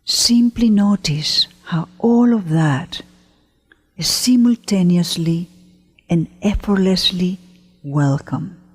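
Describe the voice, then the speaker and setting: A woman leading a guided meditation as a teacher, gentle and unhurried, pausing often between short phrases in a breathy voice. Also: lowish voice. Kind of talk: guided meditation, spoken slowly to listeners. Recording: long hall-like reverb.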